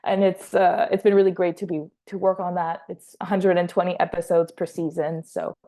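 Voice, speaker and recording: A woman's voice: on the low side, little pitch movement, brisk, mellow. A woman in her twenties with a French Canadian accent, giving a casual talk to students as a guest speaker and assistant editor, chatty with many fillers and restarts. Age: 20s.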